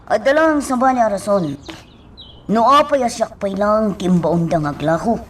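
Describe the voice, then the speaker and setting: A woman's voice: mid-range voice, lively intonation, measured pace, slight background noise, lightly acted. A woman acting a chatty, deferential fish delivery worker in a radio drama, with an Ilocano Filipino accent.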